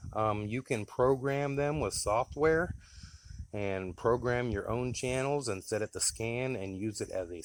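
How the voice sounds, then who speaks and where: An American man giving a casual, plain-spoken, folksy demonstration of his gear. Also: on the low side. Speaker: radio hobbyist presenting his gear.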